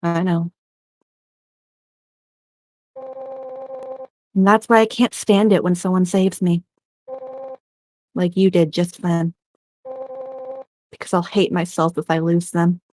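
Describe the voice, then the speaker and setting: A woman's voice: fairly low pitch, little pitch movement, measured pace, long pauses, a little mellow, dry recording, close to an everyday voice. A woman playing a young rejected witch, wistful yet teasing, confiding in a conversational way in a game script performance. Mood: self-blaming. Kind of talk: fan-performed game script, dramatic dialogue.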